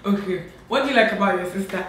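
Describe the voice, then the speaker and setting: A woman in her late twenties from Nigeria, hosting a casual sister tag chat with animated, laughing talk, filmed seated at home. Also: medium pitch, fast. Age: late 20s. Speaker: beauty vlogger host. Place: bright home room, indoors. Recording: echoey room with faint noise.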